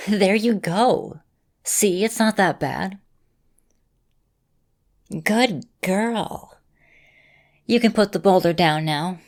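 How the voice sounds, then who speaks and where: Woman playing a gentle, low-voiced vampire mistress. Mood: encouraging. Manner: gentle, reassuring, softly teasing. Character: affectionate centuries-old female vampire mistress, encouraging mentor. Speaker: ASMR roleplay performer. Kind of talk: ASMR roleplay.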